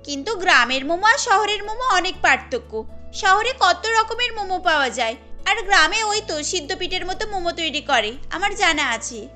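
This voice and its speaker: A woman voicing a sweet, pampered, chatty young city wife in a cartoon, with girlish expressive delivery. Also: very high voice, moderate pace, full character voice. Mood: dismissive. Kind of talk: cartoon story dialogue. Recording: music under the voice.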